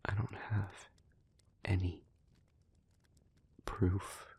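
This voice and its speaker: A man playing a brooding, devoted demon in an intimate audio roleplay, speaking in solemn, halting, measured phrases. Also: low voice, level tone, creaky, darkish tone, slightly rough, exaggerated voice. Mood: somber, earnest.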